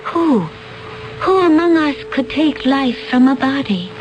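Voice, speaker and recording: An innocent young alien woman pleads in a bewildered way. Her voice is high and lively and her pace is slow, with faint noise underneath. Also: highs cut off. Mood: frightened.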